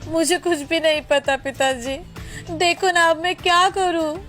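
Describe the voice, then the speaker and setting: Storybook daughter, played by a woman: young bride, high voice, dramatic and pleading, light music behind. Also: a little slow, fairly bright tone. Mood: distraught. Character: vain, emotional young bride-to-be daughter, later remorseful.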